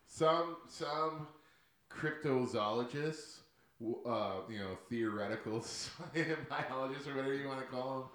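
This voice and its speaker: A man's voice: mid-range voice, a little slow, fairly bright tone, echoey room. A man co-hosting a podcast in casual chat. He is laid-back, swears a lot and laughs often. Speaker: podcast co-host.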